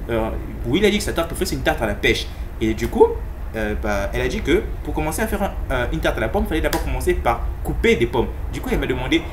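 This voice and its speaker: A man's voice: mid-range voice, very animated, fast, a little hiss or hum. A man hosting a gaming video, giving casual let's-play commentary and translating for viewers, chatty and rambling, often repeating himself.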